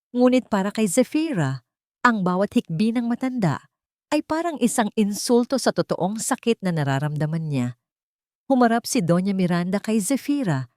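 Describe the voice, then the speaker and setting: A woman reading a scripted love story as narrator, in a measured, melodramatic storytelling manner. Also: medium pitch, darkish tone, dry recording, studio quality.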